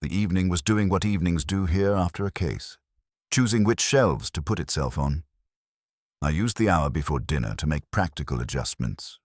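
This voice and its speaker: Male audiobook narrator for detective fiction: very low pitch, expressive melody, dry close recording. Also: dry recording. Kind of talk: scripted first-person detective story narration.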